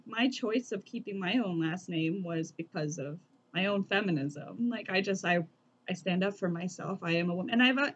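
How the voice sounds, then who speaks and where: A woman's voice: mid-range voice, husky, dull audio. Candid Vietnamese-American young woman, casual. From United States. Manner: relaxed and candid, many filler words, swears freely. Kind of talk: casual group chat.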